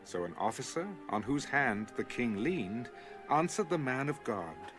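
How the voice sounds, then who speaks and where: A man's voice: medium pitch, big pitch swings, a little slow, music in the background. A man giving scripted narration for a dramatized audio Bible.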